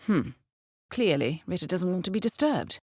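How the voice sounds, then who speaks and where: An actress playing a cool, dryly witty spy handler in a video game, with a British accent and a measured, polished, understated delivery. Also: female voice, fairly low pitch, sing-song, husky, no echo, clean studio sound. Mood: calm, watchful. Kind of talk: scripted video game voice acting, mission briefings.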